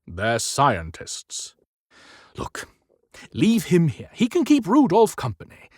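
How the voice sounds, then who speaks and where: Man voicing weary, reasonable Santa, pitched up. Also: wildly swooping pitch, slow, a little mellow, dry recording, clean studio sound, exaggerated voice. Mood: firm, exasperated. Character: Santa Claus as weary, reasonable, authoritative leader. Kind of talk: cinematic audiobook character acting.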